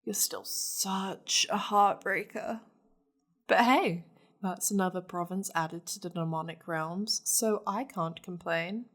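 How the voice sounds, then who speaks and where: Woman acting a slow-talking, possessive demon lady. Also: on the low side. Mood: smug, playful. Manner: teasing, commanding, haughty endearments. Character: possessive, wealthy, flirtatious high-ranking demon lady. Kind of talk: intimate ASMR roleplay.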